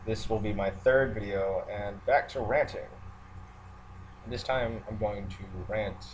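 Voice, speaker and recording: A man's voice: on the low side, fairly even intonation, a little slow, rough recording. A man giving an unscripted, matter-of-fact monologue, hesitating as he thinks aloud. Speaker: online video ranter who works in the military. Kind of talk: unscripted monologue rant to listeners. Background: background noise, roommate nearby.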